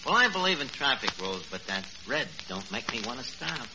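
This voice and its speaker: An actor playing a brash, bumbling New York tavern manager in a 1940s radio comedy, full of boasts and mangled fancy words. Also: male voice, medium pitch, big pitch swings, brisk. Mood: flirtatious. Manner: wisecracking, bumbling malapropisms. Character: brash, boastful Brooklyn tavern manager wooing an old flame. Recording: noisy, muffled old radio broadcast.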